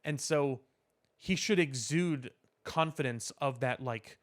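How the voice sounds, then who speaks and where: A man's voice: mid-range voice, slow pace. A man co-hosting a podcast, explaining his opinions in casual, opinionated talk about superhero films. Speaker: podcast co-host.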